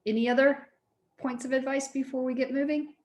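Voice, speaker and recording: A woman's voice: high voice, fairly even intonation, brisk, a little mellow. A middle-aged woman giving an informal college lecture, explaining things in a conversational way.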